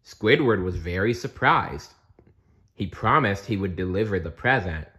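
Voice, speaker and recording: A man's voice: on the low side, animated, a little slow, sharp tone. A man reading a storybook aloud as narrator, expressively and with playful asides. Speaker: storybook reader.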